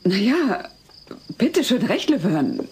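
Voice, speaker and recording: An actress playing a puzzled, flustered female real-estate agent in a dubbed sitcom audio drama, speaking haltingly. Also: medium pitch, wildly swooping pitch, darkish tone, slight background noise, exaggerated voice.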